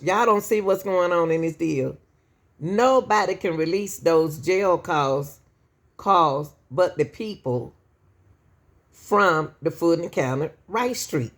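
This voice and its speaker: Slow-talking woman commentator. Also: lowish voice, animated. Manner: slow, preachy, repeats "y'all" to the viewers. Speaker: commentary channel host. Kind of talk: casual opinion commentary.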